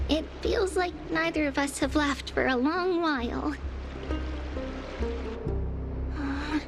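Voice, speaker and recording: An actress voicing a small, gentle, wistful girl oracle in an anime video game, with game music behind her. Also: sits high, a little slow. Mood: softly cheerful.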